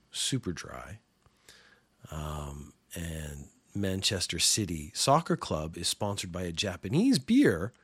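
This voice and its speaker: A man's voice: low voice, a bit bright, husky, no room sound. A man hosting a small podcast alone, talking in a rambling, sarcastic, opinionated way. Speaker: podcast host. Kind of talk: casual solo podcast rant.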